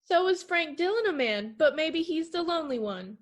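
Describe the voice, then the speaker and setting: A woman's voice: extremely high pitch, lively intonation, normal speed. A woman playing an alarmed, suspicious small-town woman in a 1940s-style radio play, urgent and emphatic. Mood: scolding.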